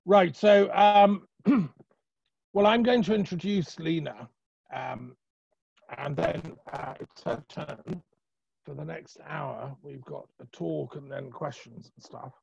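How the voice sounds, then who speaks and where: A man hosting an online conference and introducing a speaker informally, halting and often restarting, over muffled call audio. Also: a little high, creaky, darkish tone, slightly rough, no echo.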